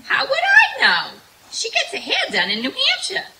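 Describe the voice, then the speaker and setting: A woman's voice: very high-pitched, wildly swooping pitch, normal speed, exaggerated voice. A woman playing a scatterbrained, cheerful comedienne in old-time radio comedy style. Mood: daffy, innocent. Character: scatterbrained, cheerful comedienne wife with daffy logic. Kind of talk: 1930s radio comedy acting. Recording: hissy, noisy live stage recording.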